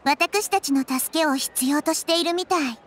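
A woman playing a small, wise girl deity in a Japanese anime game, calm and gentle, speaking in a measured, explanatory way. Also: high voice, slight background noise, close to an everyday voice. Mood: calm, concerned.

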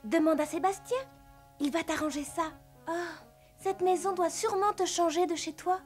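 A woman's voice: very high voice, normal speed. A woman voicing a kind, polite, sheltered young girl in a French-dubbed cartoon. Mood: kindly curious. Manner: gentle, curious, well-bred. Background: music.

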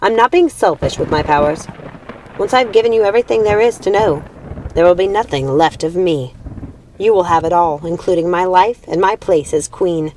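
A woman's voice: on the low side, normal speed, darkish tone, slight background noise, natural voice, rough recording. Woman acting a menacing, imperious witch queen mother. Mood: intense, possessive. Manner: commanding, theatrical.

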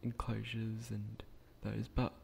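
A young man with a croaky voice, speaking quietly at night in a hesitant, rambling way with frequent restarts as he narrates a game build. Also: on the low side, completely flat delivery, slow, a little hiss or hum. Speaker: gaming channel creator.

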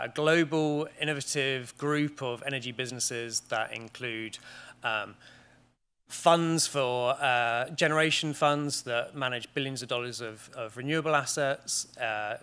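A British man in his thirties speaks from a conference stage in a large panelled hall. His voice is bright and clear, and he talks at a measured pace, often pausing on "um" and restarting his sentences. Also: medium pitch. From Great Britain. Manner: measured, with frequent ums and restarts. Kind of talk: conference presentation. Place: large wood-panelled auditorium.

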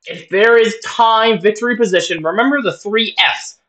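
A man's voice: sits high, normal speed, noisy background. A man giving casual, joking reaction commentary, swearing now and then and reading on-screen text aloud. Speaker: reaction video host.

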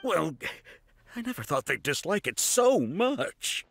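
A man's voice: on the high side, big pitch swings, slow, creaky, fairly bright tone, faint music behind, heavily acted. An actor playing a wry, weary male toy craftsman who explains things patiently. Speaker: toy store worker.

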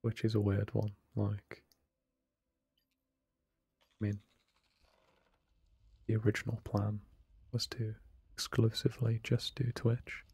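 Young man for a relaxed let's play, soft-spoken and self-deprecating, flat and mellow. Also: lowish voice, takes it slowly, creaky, long pauses, husky, no room sound, clean studio sound. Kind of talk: casual late-night gameplay commentary. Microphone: close desk microphone, no webcam.

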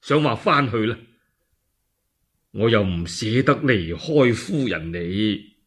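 A man's voice: on the low side, lively intonation, slow, fairly bright tone, husky, voice pushed down, heavily acted. A man voicing a sorrowful, weepy middle-aged warlord, plaintive, hesitant and sighing, in a one-man radio drama. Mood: anguished.

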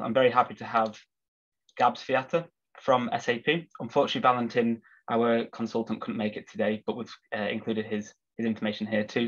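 A man hosting a business webinar, sounding husky from a cold, relaxed with frequent filler words. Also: medium pitch. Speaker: webinar host. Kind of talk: corporate webinar presentation. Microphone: video call. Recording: slightly dull video call audio.

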